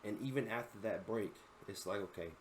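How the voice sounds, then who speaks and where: 21 yr old guy reacting to a vid, slangy, keeps saying bro, a bit low voice, faint hiss. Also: fairly quick, rough recording. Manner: slangy, says "bro" constantly, rambling and earnest. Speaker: content creator reacting to a video. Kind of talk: casual reaction commentary.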